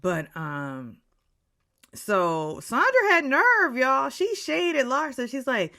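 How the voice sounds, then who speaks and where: A woman's voice: high voice, very animated, slow, creaky, heavy background noise. A woman in her late forties giving a gossipy, catty recap of a reality show episode, dropping into whispers for asides. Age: 40s.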